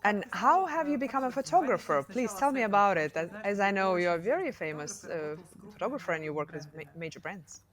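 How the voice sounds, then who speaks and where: A woman host asking interview questions in an eager, animated way. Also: medium pitch, a little fast, few pauses.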